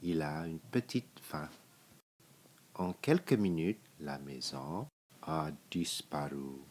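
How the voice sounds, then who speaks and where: A man with a very deep voice reads a picture book to children. He takes it very slowly, phrase by phrase, leaving deliberate pauses. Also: a little mellow. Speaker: storybook reader.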